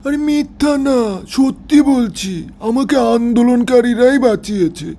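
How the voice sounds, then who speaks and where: A man puts on a shameless older Bangladeshi politician with a strikingly high yet soft, mellow voice. He is evasive and stubbornly defensive, sounding pleased with himself throughout a satirical phone-call sketch. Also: normal speed.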